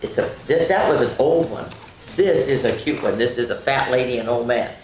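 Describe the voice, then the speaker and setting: Older female children's story host, low-to-mid register for a woman, sing-song reading with chatty asides, roomy echo. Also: normal speed, a little mellow, slight background noise. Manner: addresses young listeners directly. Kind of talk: reading nursery rhymes aloud to children. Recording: roomy, echoing room sound.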